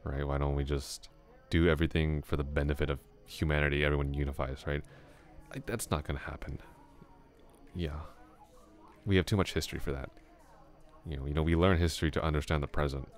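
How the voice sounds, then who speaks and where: A chatty young male classmate , voiced by a man for an ASMR roleplay, with an extremely deep, low voice. He rambles softly and thoughtfully, musing aloud to the listener. Small chuckles slip into his talk. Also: steady tone, brisk, soft background music.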